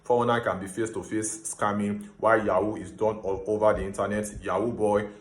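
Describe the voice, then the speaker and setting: A Nigerian man with a Nigerian accent, hosting a casual explainer monologue and switching between Pidgin and English with emphatic, animated delivery. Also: lowish voice, brisk, reverberant. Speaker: host.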